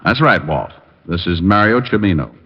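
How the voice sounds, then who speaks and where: An actor playing a wry, unflappable private detective with a dry, clipped, wisecracking delivery in an old radio drama. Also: male voice, low voice, lively intonation, moderate pace, dry recording. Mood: dry, brisk. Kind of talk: 1940s-50s radio detective acting. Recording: dull vintage radio recording.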